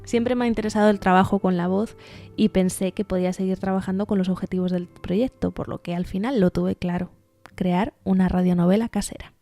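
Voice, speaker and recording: Female podcast host from Spain, soft-toned mellow delivery, scripted narration over music. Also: medium pitch, steady tone, brisk. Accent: Spanish from Spain. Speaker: podcast host and art therapist.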